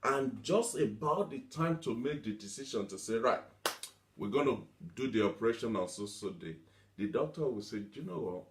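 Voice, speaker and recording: A man's voice: mid-range voice, expressive, moderate pace, background noise. A man preaching a motivational teaching sermon, emphatic, repeating himself and asking rhetorical questions. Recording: echoey room.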